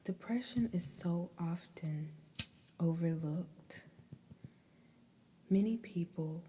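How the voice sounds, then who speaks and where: Female ASMR meditation host, breathy whisper, very mellow and dark, unhurried pace, soothing. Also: on the low side, slight background noise. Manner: soothing, deliberate, with pauses between phrases.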